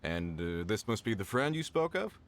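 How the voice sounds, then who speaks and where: A man's voice: lowish voice, big pitch swings, normal speed, very noisy. A man voicing a boastful middle-aged dock foreman who talks in a showy, blustering way. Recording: game dialogue over music.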